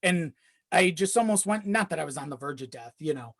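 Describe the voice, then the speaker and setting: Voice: mid-range voice, animated, a little fast, dry recording. Rambling storyteller, dry close sound. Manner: rambling, often chuckling while talking, swears casually. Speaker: podcast guest. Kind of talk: casual chat, personal storytelling.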